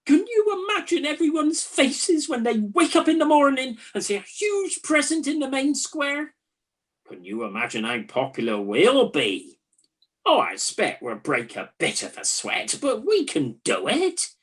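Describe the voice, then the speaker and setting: A woman's voice: high voice, wildly swooping pitch, measured pace, full character voice. A woman playing a chatty, gullible Trojan soldier in a comic radio play, musing in a wry, conversational way. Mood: eager, gleeful. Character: bored, gullible, chatty Trojan night-watch soldier in a spoof.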